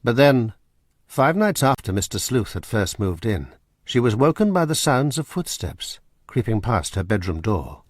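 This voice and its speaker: A man narrating a radio drama in a measured, dramatic storytelling manner. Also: medium pitch, sing-song, no room sound, studio quality. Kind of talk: scripted narration.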